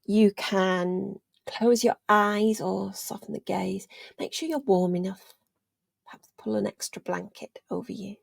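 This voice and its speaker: A woman leading a guided meditation in a gentle, soothing, unhurried way, leaving long pauses and letting phrases trail off almost to a whisper. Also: mid-range voice, vocal fry, no room sound. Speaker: meditation guide, yoga teacher.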